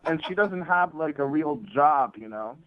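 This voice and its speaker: A man's voice: on the high side, lively intonation, moderate pace, background noise. A man talking casually as a guest on a phone call, hesitant and a bit sardonic. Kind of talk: casual conversation. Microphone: telephone. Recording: dull, band-limited phone line.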